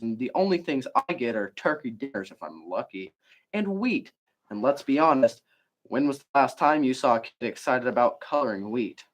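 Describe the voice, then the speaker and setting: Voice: mid-range voice, lightly acted, low-quality recording. A reader voicing a proud but slighted beige crayon from a storybook, sulky and aggrieved.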